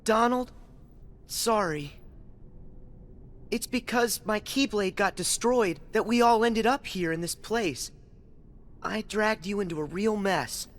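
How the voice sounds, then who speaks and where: A man's voice: high-pitched, takes it slowly, long pauses. A man voicing an earnest, determined and loyal teenage boy hero in a video game. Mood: grateful, earnest. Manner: earnest, emphatic. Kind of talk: animated video game voice acting.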